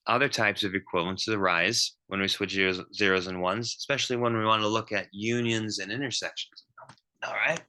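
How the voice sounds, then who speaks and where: Man, low pitch, sharp bright tone, math lecture, thinking aloud, fillers and self-corrections. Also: fairly even intonation, a little fast. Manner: thinking aloud with many ums and restarts.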